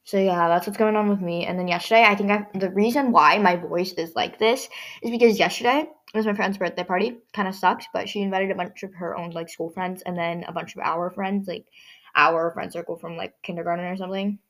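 Hoarse teenage girl podcasting. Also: lowish voice, fairly even intonation, brisk, fairly bright tone. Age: teens. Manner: rambling, many fillers and restarts. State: hoarse, strained voice. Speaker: podcast host. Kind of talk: casual solo podcast chat.

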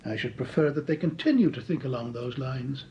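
An actor playing a smooth, mocking male crook who has faked his own death, in a radio drama. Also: medium pitch, brisk, a little mellow, lo-fi sound, a little hiss or hum, natural voice. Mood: suave. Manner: suave, mocking. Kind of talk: 1940s radio acting.

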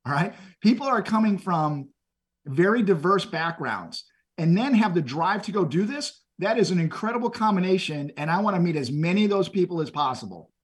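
A middle-aged man from Texas talks with a husky, slightly high voice. He speaks earnestly and motivationally, often repeating a word as he gets a phrase started. His tone is conversational, like a podcast guest sharing stories. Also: lively intonation, a little fast. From Texas, USA. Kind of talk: conversational storytelling.

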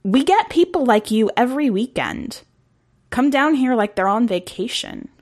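A woman's voice: fairly high pitch, animated, normal speed, voice pushed up. A woman voicing a blunt, dismissive, world-weary young city girl in an audiobook story. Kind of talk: audiobook character dialogue.

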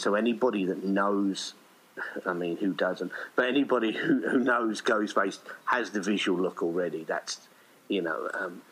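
A man with a fairly low voice talks as a guest in a casual interview. He rambles a little and hesitates, with a modest, self-deprecating way of explaining himself. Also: fairly bright tone, breathy edge, poor audio. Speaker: writer and director, podcast guest.

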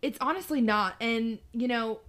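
A woman's voice: on the high side, moderate pace, fairly bright tone. A woman in her twenties chatting casually as a podcast co-host, agreeable and a little hesitant. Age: 20s. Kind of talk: casual chat.

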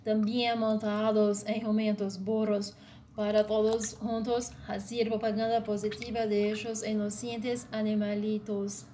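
A woman with a Brazilian Portuguese accent reads her piece aloud in short, even phrases, keeping her voice flat. She moves slowly and deliberately from one phrase to the next. A steady hiss of noise sits under the recording. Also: medium pitch. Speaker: blogger. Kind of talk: read-aloud commentary.

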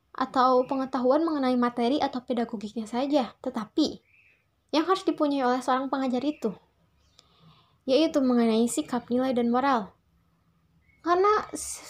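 A young woman with a fairly high voice speaks as a student presenting to classmates. Her delivery is polite and formal, and she often restates a phrase in slightly different words. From Indonesia. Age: young adult. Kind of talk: prepared spoken class response.

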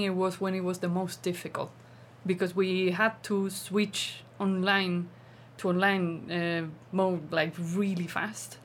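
A woman's voice: on the low side, a little hiss or hum. A woman from southern Spain with a Spanish accent, a teacher chatting casually as an interview guest. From Seville province, Spain. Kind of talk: casual chat.

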